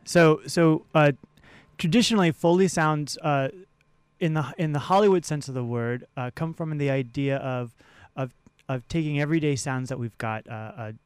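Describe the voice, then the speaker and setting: Smooth-voiced man explaining haltingly in a studio. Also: fairly high pitch, no echo. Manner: halting, repeats words while explaining. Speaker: interview guest, theatre sound designer and professor. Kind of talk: explanatory radio interview talk. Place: radio studio. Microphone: studio microphone.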